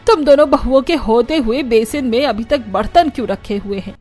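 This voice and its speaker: A woman's voice: a little high, normal speed, a little mellow, soft background music, lightly acted. A woman voicing a bossy middle-aged mother-in-law in a cartoon family story, with a stern, commanding manner. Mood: angry, exasperated. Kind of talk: animated family story dialogue.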